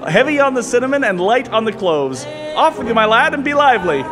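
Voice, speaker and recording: An actor playing a quaint, innocent, very old guardian angel with a gentle, flustered manner in a radio play. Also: male voice, very high voice, sing-song, brisk. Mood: dreamy, cheerful. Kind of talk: old-time radio drama acting. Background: music.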